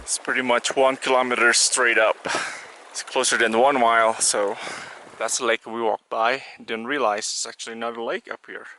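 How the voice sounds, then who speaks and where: A man vlogging on a high mountain hike, chatty and slightly hoarse, with broken phrasing. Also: little pitch movement, normal speed, low-quality recording. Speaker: travel vlogger. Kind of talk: casual vlog narration while hiking. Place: outdoors on a high mountain trail. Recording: wind and outdoor noise.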